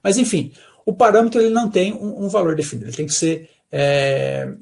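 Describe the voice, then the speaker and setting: Brazilian male lecturer, high voice with a gravelly edge, patient explainer on a video call. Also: animated, a little fast, darkish tone. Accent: Brazilian Portuguese. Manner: explanatory, checks in with frequent "tá?". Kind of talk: academic online talk.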